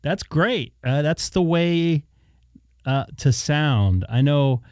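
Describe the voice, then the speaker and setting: A man's voice: on the high side, slow, darkish tone, no room sound, clean studio sound. A man hosting a radio hobby live stream, chatting casually and thinking aloud in a rambling way. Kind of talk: casual chat. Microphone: dynamic studio mic held in hand.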